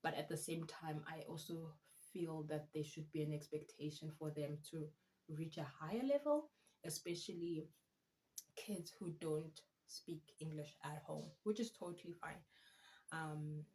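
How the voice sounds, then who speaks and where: A woman in her thirties, Afrikaans her first language, chatting to camera about her life. She has a fairly low voice and talks in a loose, self-mocking way, full of animated asides. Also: a little slow, rough recording. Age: 30s. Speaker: vlogger and student teacher. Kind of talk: casual chat to camera.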